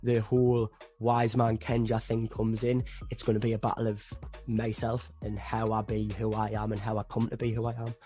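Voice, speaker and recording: A man's voice: lowish voice, completely flat delivery, moderate pace, warm tone, soft background music. A young English man with an English accent, a rapper guest on a podcast, talking earnestly and reflectively and saying "me" for "my". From England. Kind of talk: casual interview chat.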